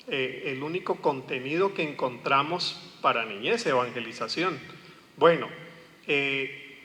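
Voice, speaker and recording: A Colombian man with a fairly high, bright voice delivers a lecture to an audience. He speaks in an engaging way and often turns questions back to the listeners. His voice rings with the long reverb of a large hall. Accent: Colombian Spanish. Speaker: guest speaker, pastor and theologian. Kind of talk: public lecture.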